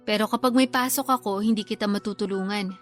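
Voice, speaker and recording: A woman's voice: medium pitch, steady tone, fast, soft background music, close to an everyday voice. A woman playing a frank, scolding but supportive younger sister in a radio drama, with a Filipino accent. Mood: frank, concerned. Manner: blunt, reproachful. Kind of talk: Tagalog radio drama acting.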